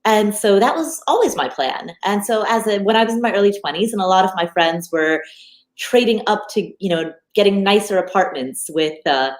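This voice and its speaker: Woman guest in her 30s chatting about money, lively, bit of room echo. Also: medium pitch, normal speed. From Nepal, raised in the United States. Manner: animated, frequent restarts and fillers. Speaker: guest, finance podcaster. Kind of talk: conversational interview. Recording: echoey room.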